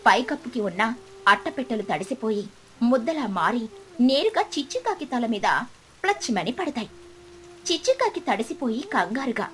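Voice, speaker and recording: A woman narrating a scripted children's story in an expressive storytelling manner. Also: on the high side, moderate pace.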